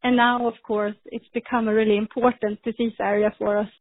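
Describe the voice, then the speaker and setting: A woman, speaking as a guest in a podcast interview, measured with frequent ums and restarts. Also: a little high, flat delivery, no room sound. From Sweden. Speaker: pharma executive guest. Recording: tinny phone-like line.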